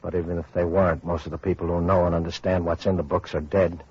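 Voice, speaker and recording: An actor playing a weary, grave male survivor of atomic war, narrating a radio drama in a sombre, measured way. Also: low voice, level tone, darkish tone, slightly rough. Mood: resigned. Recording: muffled old radio recording, music behind.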